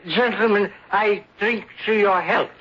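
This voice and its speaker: An actor playing a befuddled, jovial drunken gentleman who rambles and stammers in an old radio drama. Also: sits high, measured pace. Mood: drunken, rambling. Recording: dull, lo-fi radio drama recording.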